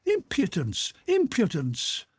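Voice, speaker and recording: A man voicing an old woman housekeeper in a spoken storybook, sharp-tongued with servants and kindly toward a visitor, in a raised husky voice. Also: high voice, wildly swooping pitch, slow, no echo, studio quality, pitched above the performer's own voice, heavily acted. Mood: scolding. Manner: sharp and scolding, then cordial. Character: old woman housekeeper, bustling, scolding yet kind.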